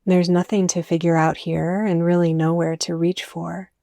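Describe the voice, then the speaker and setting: A woman leading a guided meditation, speaking gently and soothingly in scripted, softly inviting phrases. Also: on the low side, level tone, moderate pace, creaky, no echo, studio quality.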